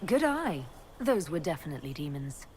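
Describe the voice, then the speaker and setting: An actress playing a composed, wry elf mage leader in a video game, with a dry, assured manner. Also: female voice, deep voice, very animated, normal speed, darkish tone, a little hiss or hum. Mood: dry, incredulous.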